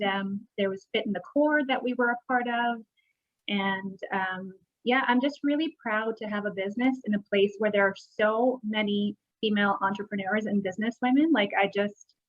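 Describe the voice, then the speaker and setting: Woman from Bosnia, mid-pitched, reflective panelist; dull lo-fi call audio. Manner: warm, reflective, many pauses. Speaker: chiropractor. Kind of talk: casual panel talk. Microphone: video call.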